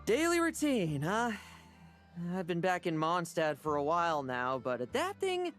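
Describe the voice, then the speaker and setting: An actor playing an earnest, brooding young knight recruit in a video game. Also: male voice, a little high, wildly swooping pitch, unhurried, a bit bright, faint music behind, full character voice. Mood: weary, earnest.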